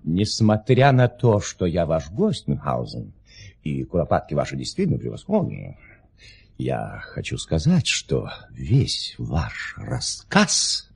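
An actor playing a skeptical, mocking count with a raspy, creaky voice and an excitable, theatrical delivery in an audio play. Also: male voice, a bass voice, slow pace, full character voice. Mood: haughty disbelief. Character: skeptical, mocking count, a doubting dinner guest.

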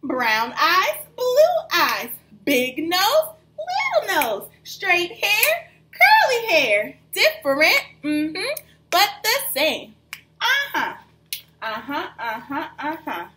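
A young woman teacher reading aloud to small children in a rhythmic, sing-song rap, animated and encouraging. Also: very high-pitched, slow pace. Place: small plain room indoors. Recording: faint background noise.

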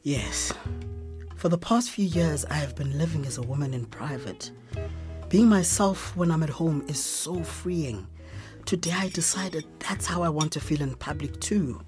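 Low-voiced South African woman teacher. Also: unhurried, music in the background. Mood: earnest. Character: gentle, weary transgender woman, a schoolteacher. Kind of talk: radio drama acting.

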